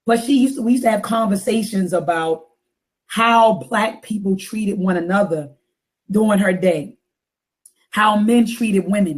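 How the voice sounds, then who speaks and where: Raspy, hoarse-voiced woman host. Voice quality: deep and raspy. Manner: earnest, repeats phrases for emphasis. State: hoarse after a coughing spell. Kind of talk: casual talk-show chat.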